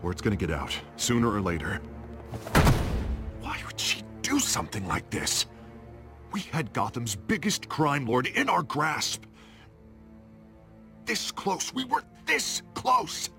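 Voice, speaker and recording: A man's voice: mid-range voice, huge pitch swings, slow pace, long pauses, music in the background, full character voice. A man playing a frustrated, image-minded district attorney in a video game, speaking emphatically. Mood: exasperated. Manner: emphatic, exasperated. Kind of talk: video game drama acting.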